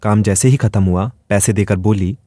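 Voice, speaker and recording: A man's voice: lowish voice, normal speed, dark tone, no room sound, clean studio sound. A man reading a scripted first-person dramatic story as its narrator.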